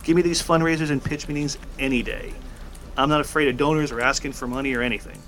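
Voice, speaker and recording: A man's voice: mid-range voice, fast, a bit bright, slight background noise, close to an everyday voice. An actor playing a nervous, good-natured male finance worker who is self-effacing. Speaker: finance staffer. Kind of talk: audio drama acting.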